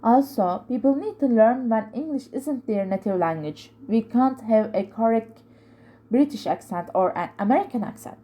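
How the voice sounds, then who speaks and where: A woman with a Turkish accent and a soft-edged, mellow tone. She talks casually as a vlog host, reading out viewers' answers, earnest and a little halting as she corrects herself. Turkish is her native language. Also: on the high side, lively intonation. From Turkey.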